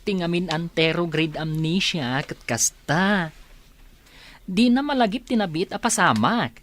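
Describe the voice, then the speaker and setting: A woman plays a helpful family friend in a Filipino audio drama. The voice sits fairly low and talks things through in a reassuring way. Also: expressive, measured pace, a little hiss or hum.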